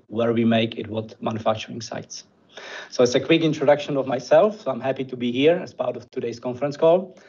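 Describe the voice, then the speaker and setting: A man from the Czech Republic with a Czech accent, giving a formal conference presentation over a video call in a measured way, with frequent hesitations. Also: medium pitch, a little mellow. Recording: dull muffled video-call audio.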